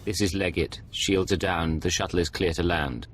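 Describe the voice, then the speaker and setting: An actor playing a guarded secret agent in a video game, speaking in a terse, controlled way. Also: male voice, low voice, moderate pace, slight background noise, lightly acted. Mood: businesslike.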